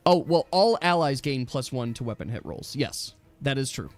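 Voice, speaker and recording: A man's voice: medium pitch, expressive, normal speed. A man acting as game master in a casual tabletop game, explaining rules step by step. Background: background music.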